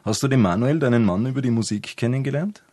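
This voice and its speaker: A man's voice: medium pitch, fast talker, a little mellow, no room sound, studio quality. A man hosting a radio interview, introducing and questioning a guest.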